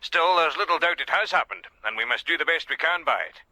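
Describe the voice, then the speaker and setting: A Scottish police sergeant in a radio play, with a very sharp, forceful voice and lively intonation. He reports formally and methodically, deferential to his superiors. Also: fairly high pitch, fast, no room sound, poor audio. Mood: deferential, resolute. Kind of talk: radio drama acting.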